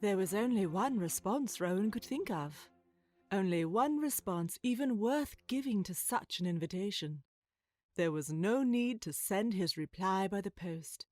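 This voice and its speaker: A woman's voice: medium pitch, lively intonation, measured pace, a little mellow, no echo, lightly acted. A woman narrating a scripted adventure story in an audio drama, in storybook style. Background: music.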